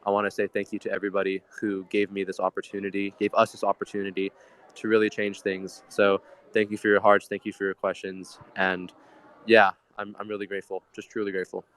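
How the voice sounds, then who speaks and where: A man's voice: lowish voice, monotone, fast, a bit bright. A young man speaking as a guest in an informal group chat, earnest and grateful. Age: teens to young adult.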